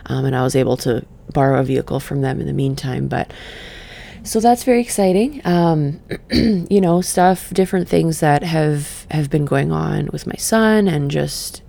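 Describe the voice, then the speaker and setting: A middle-aged woman who just woke up, leading a gentle breathing exercise and then reflecting in a soft, meandering way. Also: sits low, vocal fry. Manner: soft, slow and meandering, with sighs and fillers. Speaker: podcast host and session coach. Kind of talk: guided breathing then casual reflective talk.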